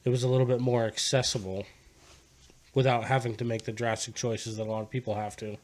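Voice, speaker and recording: Male podcast host, mid-pitched, loose and profane, casual conversational delivery. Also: little pitch movement, fast, a little mellow. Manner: laid-back, swears constantly, laughs.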